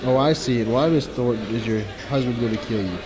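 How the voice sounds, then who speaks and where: A man's voice: medium pitch, natural voice, rough recording. Man acting a skeptical radio-drama friend amid chatter. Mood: dismissive, bemused. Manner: brisk, dismissive reassurance. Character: skeptical, exasperated male friend of a frantic woman.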